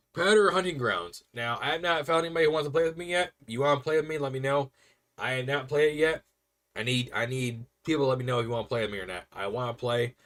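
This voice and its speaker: A young man rambling casually to viewers on a stream, chatty and quick to laugh. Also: mid-range voice, fairly bright tone.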